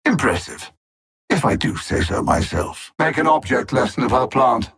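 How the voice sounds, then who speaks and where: Haughty, gravelly alien villain. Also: male voice, medium pitch, big pitch swings, moderate pace, fairly bright tone, exaggerated voice. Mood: imperious. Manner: haughty, erudite, condescending, theatrical. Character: arrogant, coldly mocking alien artificial-intelligence conqueror. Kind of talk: video game villain voice lines.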